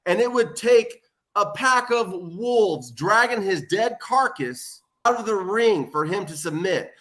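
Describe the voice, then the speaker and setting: A man speaking as a guest wrestling manager in a loud, scolding rant. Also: high voice, lively intonation, unhurried. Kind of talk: heated promo-style rant.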